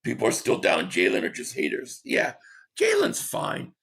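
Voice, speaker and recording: A man hosting a sports talk livestream, hoarse and losing his voice, reading chat comments and giving rambling, opinionated takes. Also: on the low side, wildly swooping pitch, normal speed, fairly bright tone. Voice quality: hoarse, gravelly. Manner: rambling, opinionated, repeats himself.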